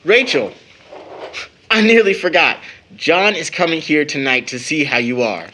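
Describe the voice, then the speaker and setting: Jovial, teasing uncle played by a man for audio drama; bright, fairly high voice, blustery. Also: expressive, a little slow, a little hiss or hum. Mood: jovial, brisk. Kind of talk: stage drama audio acting.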